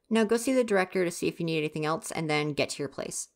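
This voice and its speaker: A young woman acts out a theater stagehand in an intimate ASMR roleplay, pitched low. The character is grumpy and sarcastic, teasing and gossipy, yet quietly caring. The delivery stays casual and conversational, as if talking to one listener. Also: quick pace, lightly acted. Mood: brisk, teasing. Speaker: roleplay voice performer.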